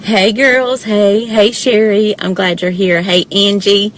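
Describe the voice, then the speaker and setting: A chatty woman with a Southern drawl from deep South Georgia, talking into her phone from a parked car. She greets viewers one by one in a friendly, easygoing way and sprinkles y'all through everything. Faint background noise sits under her voice. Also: steady tone, slow pace, low-quality recording. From South Georgia, USA. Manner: chatty, warm greetings, frequent y'all, laughs often. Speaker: direct-sales presenter hosting a live stream. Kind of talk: casual livestream chat greeting viewers. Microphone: phone held or mounted in car.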